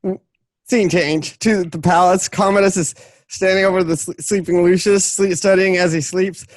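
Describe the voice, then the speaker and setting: A man narrating stage directions from a script, haltingly, often stumbling and restarting his sentences. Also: sits high, fairly even intonation, no echo, clean studio sound.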